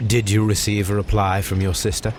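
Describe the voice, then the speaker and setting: A man playing a shrewd, commanding English gentleman detective in a Victorian video game, speaking with precise, formal phrasing. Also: lowish voice, flat delivery, a little fast, slight background noise, close to an everyday voice. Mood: stern, probing. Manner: precise, formal, clipped questioning. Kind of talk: video game cutscene acting.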